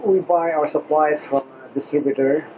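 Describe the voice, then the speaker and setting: A man, a restaurant owner answering interview questions in a halting way, with many pauses and restarts. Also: a little high, steady tone, darkish tone, a little hiss or hum. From Lebanon. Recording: slightly dull lo-fi recording.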